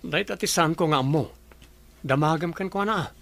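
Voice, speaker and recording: High-voiced, creaky Ilocano office colleague. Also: male voice, moderate pace, fairly bright tone. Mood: inquisitive. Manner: teasing, inquisitive. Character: nosy, teasing male office colleague. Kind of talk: Ilocano radio drama acting.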